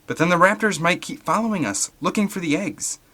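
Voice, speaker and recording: A man puts on a young boy for an adventure audiobook, pitched up from his own voice. The boy is eager but worried, speaking with earnest urgency and a bright edge. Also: expressive, a little fast, heavily acted.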